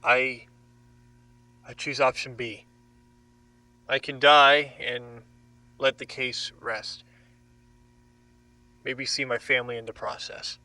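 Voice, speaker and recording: A man plays a bewildered prisoner in a horror audio drama. He speaks very slowly and hesitantly, in a tense, bright mid-range voice that sounds resigned to his fate. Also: mid-range voice, level tone, rough recording. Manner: halting, hesitant. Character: bewildered, resigned male captive under interrogation.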